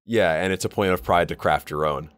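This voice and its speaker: A man's voice: deep voice, brisk, fairly bright tone, dry recording. A man co-hosting a podcast in casual, wry, informal banter about a hobby. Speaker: podcast co-host.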